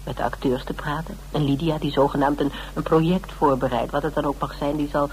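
An actress playing a practical, wry woman detective with a Dutch accent in a naturalistic radio drama, talking in a relaxed, teasing, intimate way. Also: low-pitched, fairly quick, slight background noise, natural voice, low-quality recording. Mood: musing, amused.

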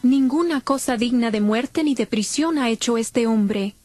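A woman's voice: on the high side, measured pace, darkish tone, dry recording, faint music behind, lightly acted. A woman playing a royal lady of the court, speaking formally and emphatically in a dramatized audio Bible. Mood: emphatic.

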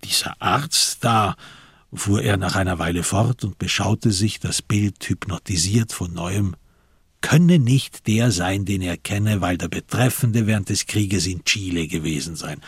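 A man narrating a radio crime drama in a measured, sober, literary manner, with a husky voice. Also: deep voice, dry recording, studio quality, heavily acted.

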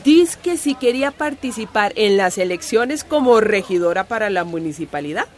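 Actress as a lively, folksy Costa Rican woman storyteller. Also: mid-range voice, moderate pace, lightly acted. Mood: matter-of-fact. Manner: chatty, folksy, emphatic. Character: warm, plucky older community woman telling her life story. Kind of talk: radio drama storytelling.

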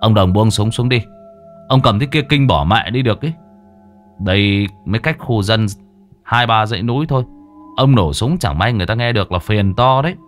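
Man doing a chill young hero, quick and steady, faint music under. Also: fairly low pitch, close to an everyday voice. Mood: reassuring.

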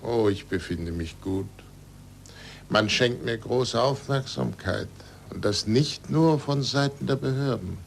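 An actor playing an aged, bitter, resigned scientist in a 1940s radio drama, speaking formally and deliberately. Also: male voice, medium pitch, gravelly. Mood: guarded. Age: older. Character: aged recanted scientist under church supervision, bitter and resigned. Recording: noisy 1940s radio recording.

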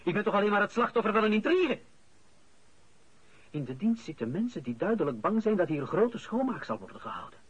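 An actor playing an indignant, flustered official in a radio play, protesting emphatically and stammering. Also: male voice, high-pitched, sing-song, fairly quick, husky, dry recording, highs cut off, heavily acted. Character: indignant, flustered male official wrongly accused.

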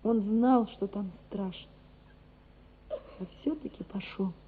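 A woman's voice: mid-range voice, husky. An actress playing an earnest, loving mother who lectures her small son emphatically in a radio drama. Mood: reproachful, emotional. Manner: emphatic, measured moral exhortation. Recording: narrow, muffled phone-like radio sound.

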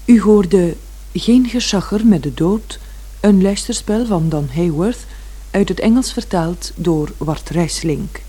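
Woman, radio announcer, formal, measured, slow, dark husky tone. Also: lowish voice. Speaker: announcer reading the credits.

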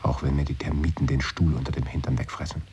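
A brooding man in a radio play, his voice sitting at the very bottom of the range. He muses slowly and often slips into a hushed whisper. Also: a bass voice, fairly even intonation, slight background noise. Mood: wistful. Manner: musing, often drops to a whisper. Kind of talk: 1980s radio play acting.

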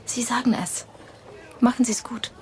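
A woman's voice: fairly high pitch, little pitch movement, measured pace, lightly acted. A woman playing a polite, coolly ruthless media executive in a radio drama, formal and clipped. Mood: cold, courteous.

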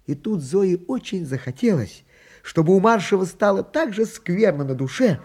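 A husky-voiced man narrating a children's radio play as its storyteller. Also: high-pitched, sing-song, normal speed, darkish tone, soft background music, exaggerated voice.

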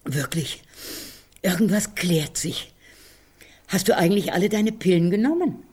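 An actress playing a fretful, defensive elderly woman in a German radio drama, plain-spoken and homely, with a breathy, husky voice. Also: on the low side, expressive, a little slow, darkish tone. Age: older.

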